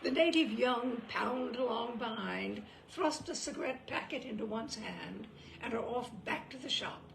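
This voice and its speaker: Gravelly, wryly expressive woman reader. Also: fairly high pitch, measured pace, reverberant, background noise. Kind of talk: reading humorous essays aloud.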